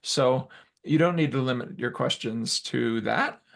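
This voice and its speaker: Gravelly man on a video call. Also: mid-range voice, a little slow. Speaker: guest speaker. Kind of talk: informal talk on a video call.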